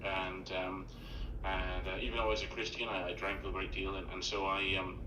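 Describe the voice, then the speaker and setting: Man, flat low voice, halting. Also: reverberant, over music, poor audio. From Wales. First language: Welsh. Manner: halting, many fillers and restarts. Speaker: guest. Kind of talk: casual chat.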